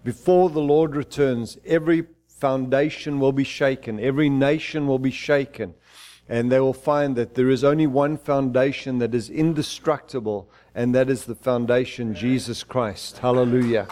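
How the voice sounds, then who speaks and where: A middle-aged male pastor from Zimbabwe preaching in a church, fervent and declaiming, though his phrases often stumble and restart. His voice is dark and mellow, sitting at an ordinary man's pitch. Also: fairly even intonation, normal speed. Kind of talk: sermon.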